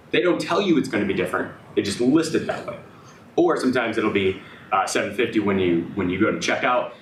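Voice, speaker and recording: A slightly hoarse man pitching his startup to an audience. He hesitates a lot, with many fillers and restarts. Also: slight background noise. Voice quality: raspy. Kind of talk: business pitch presentation. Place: event room with audience. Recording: echoey room, speaker far from mic.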